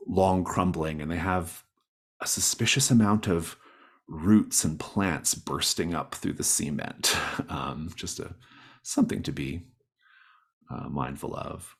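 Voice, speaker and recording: A man with a low, husky voice leads a tabletop roleplaying game. He moves between reading rules, describing scenes and prompting players with an encouraging manner. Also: measured pace. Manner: encouraging, guiding players with questions. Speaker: game master. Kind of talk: narrating a tabletop roleplaying game, part read from rules and part conversational.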